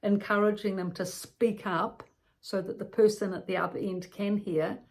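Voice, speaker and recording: A woman's voice: medium pitch, fairly even intonation, moderate pace, a little mellow. A woman giving an instructional talk as a care educator, explaining step by step with practical examples and repeated points.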